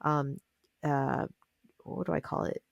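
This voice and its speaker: A woman with a fairly low voice talks at length as a guest on an interview show. She wanders through her thoughts, stops herself and starts again, and often leaves pauses filled with "um". The recording is close and dry, with no room sound. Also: steady tone, vocal fry, dry recording, highs cut off. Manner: thoughtful, meandering, with many fillers and restarts. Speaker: guest musician. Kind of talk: casual interview conversation.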